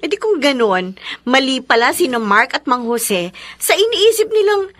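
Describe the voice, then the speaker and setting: An actress playing an expressive, nosy young best friend with a Filipino accent, exclamatory and mixing in English, in a radio drama. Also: female voice, high voice, normal speed, exaggerated voice. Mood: curious.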